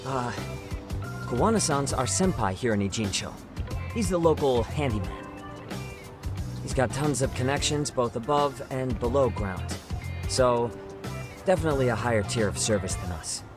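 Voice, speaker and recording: A man plays a casual young detective in a video game drama. His mid-pitched voice is lively and reactive, quick to sound incredulous, with clear music underneath. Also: natural voice. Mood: explanatory. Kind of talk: English-dubbed video game cutscene acting. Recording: music behind the voice.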